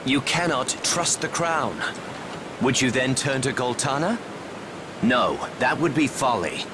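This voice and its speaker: An actor playing a proud, guarded young knight in a fantasy game, speaking in measured, formal archaic phrases. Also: male voice, mid-range voice, animated, noisy background, natural voice. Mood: cool, calculating. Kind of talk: dramatic fantasy game voice acting.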